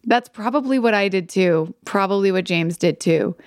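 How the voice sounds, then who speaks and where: A woman's voice: medium pitch, moderate pace, no echo, clean studio sound. Enthusiastic woman co-hosting a podcast. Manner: enthusiastic, laughing. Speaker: podcast co-host, actress. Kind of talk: casual chat.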